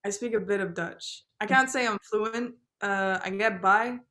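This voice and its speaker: A young woman from Belgium, a singer-songwriter chatting casually as a podcast guest, full of fillers and quick laughs. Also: medium pitch, sharp tone. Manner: chatty, many fillers, laughs while talking. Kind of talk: casual interview chat.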